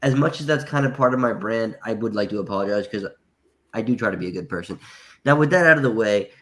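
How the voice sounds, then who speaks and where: A man's voice: fairly low pitch, expressive, quick pace, a bit bright. Nasal, congested man rambling. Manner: rambling, hesitant, many restarts. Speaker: caller. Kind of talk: casual livestream call-in.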